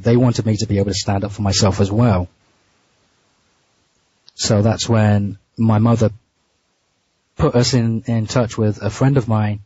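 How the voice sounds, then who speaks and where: A man being interviewed, telling a story in a casual, halting way with frequent restarts and repeated words. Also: lowish voice, dark tone, slightly rough, dry recording. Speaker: interview guest. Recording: muffled dry lo-fi line.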